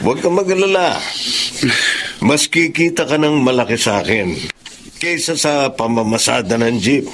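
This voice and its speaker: Easygoing, persuasive male boss for radio drama, played by a man: mid-pitched, very animated intonation, faint noise behind. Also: normal speed, natural voice. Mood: inviting, persuasive. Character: genial, generous well-off male boss. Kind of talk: Filipino radio drama acting.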